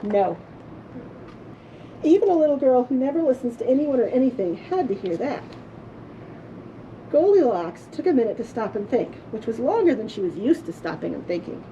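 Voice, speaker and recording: A woman storyteller reading a children's story aloud in a playful, theatrical way with drawn-out emphasis. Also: on the high side, very animated, dark tone, lo-fi sound, slight background noise. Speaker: librarian storyteller. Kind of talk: expressive children's storybook reading for a puppet show.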